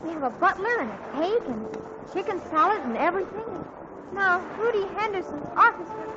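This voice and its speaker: An actress playing an excitable teenage girl fussing over her own party in a 1940s radio comedy, talking in breathless, gushing chatter. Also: extremely high pitch, fairly quick. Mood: excited, gushing. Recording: muffled old radio broadcast with hiss.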